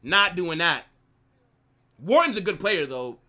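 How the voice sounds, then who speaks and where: A man hosts a live football watch-along, talking casually and answering his chat. His voice sits high and sounds bright and sharp, swinging up and down as he reacts. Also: slow. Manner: animated banter, reading chat aloud. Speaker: live stream host.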